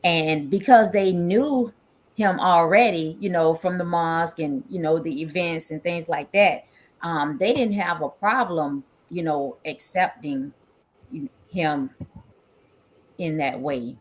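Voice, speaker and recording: A woman's voice: on the low side, slow. A mother on a panel, speaking directly and plainly with plenty of fillers in a casual discussion.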